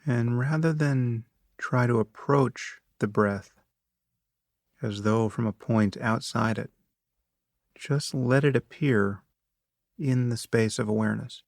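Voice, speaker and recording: A man leading a guided meditation, speaking in a measured, unhurried way with long pauses and calm instruction. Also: medium pitch, no echo, studio quality.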